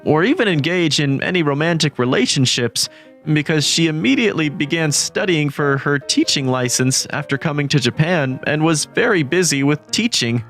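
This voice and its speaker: A man voicing an earnest, lovestruck teenage student who narrates a scripted manga story in a measured way. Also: on the high side, expressive, fairly bright tone. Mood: earnest, reflective. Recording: background music under the voice.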